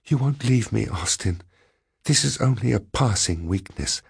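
A man's voice: lowish voice, expressive, unhurried, creaky, breathy edge, no echo, clean studio sound, exaggerated voice. A man voicing a frightened, lovesick scheming woman in an audiobook, weakened, pleading and gasping. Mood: weak, pleading. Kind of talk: audiobook character voice.

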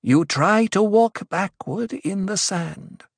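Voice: medium pitch, lively intonation, slow, no room sound, studio quality, voice pushed up. A narrator voicing a fierce young prophetess in an audiobook, commanding and intoning cryptic pronouncements to a crowd. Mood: solemn. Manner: commanding, ritual intoning that turns sharp.

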